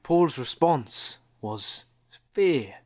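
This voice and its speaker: A man's voice: on the high side, animated, extremely slow, background noise. A man hosting a comedy podcast, moving between casual banter and mock-dramatic storytelling. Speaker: podcast host and radio play narrator.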